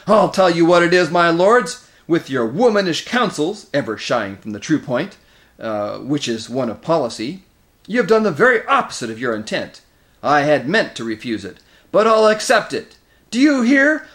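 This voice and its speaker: A man's voice: medium pitch, moderate pace, gravelly, few pauses, darkish tone, natural voice. A man voicing a proud, blustering king in a fantasy storybook, roaring indignantly in archaic speech. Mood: enraged, indignant. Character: enraged proud male king, blustering and bawling.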